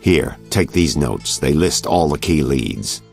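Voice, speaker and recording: An actor playing an anxious, hunted detective in a video game, with urgent, clipped delivery. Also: male voice, rumbling low, expressive, takes it slowly, creaky, darkish tone, husky, faint music behind, exaggerated voice. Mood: tense, urgent.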